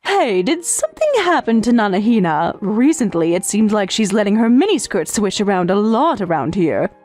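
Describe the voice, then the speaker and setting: Woman doing a caring anime mom, observant, lively swingy intonation, soft music behind. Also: mid-range voice, normal speed. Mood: concerned. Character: caring, observant working mother of teenagers. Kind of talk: anime-style dub acting.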